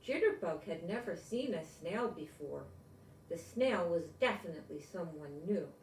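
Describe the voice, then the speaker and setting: A woman's voice: on the low side, expressive, normal speed, slight background noise. An older woman reading a picture book aloud to children, expressively, with little asides and questions to the listeners. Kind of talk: animated read-aloud to children. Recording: echoey room, distant mic.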